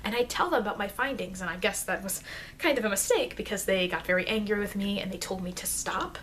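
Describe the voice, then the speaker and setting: A woman playing a chatty, informal immortal vampire teacher in an ASMR roleplay, rambling hesitantly and confidingly, with soft dips into whispering. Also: mid-range voice, fast talker, natural voice. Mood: confiding, defensive. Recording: noisy amateur microphone.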